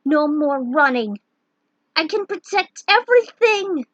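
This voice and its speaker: A woman's voice: high voice, expressive, measured pace, dry recording, highs cut off, voice pushed up. A woman voicing a frightened young sorceress who summons fierce determination, in a dramatic fantasy story. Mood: defiant.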